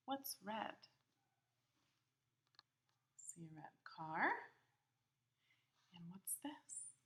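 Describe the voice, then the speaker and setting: Bright-voiced woman reading to young children. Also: fairly low pitch. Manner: expressive, simple child-directed phrasing. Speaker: children's storybook reader. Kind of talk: interactive picture-book read-aloud with questions to young children.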